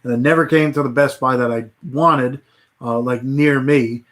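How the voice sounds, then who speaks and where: A man hosting a casual livestream, rambling with many fillers and restarts, his voice starting to go hoarse. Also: medium pitch, steady tone, normal speed, a bit bright. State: voice getting hoarse. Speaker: livestream host.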